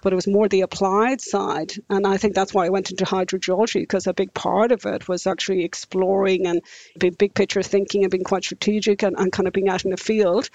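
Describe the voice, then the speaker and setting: A woman's voice: mid-range voice, darkish tone, no room sound, highs cut off. A woman in her fifties from rural Ireland with an Irish accent, a guest in a podcast interview who often repeats words and restarts her sentences. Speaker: interview guest, engineering company director.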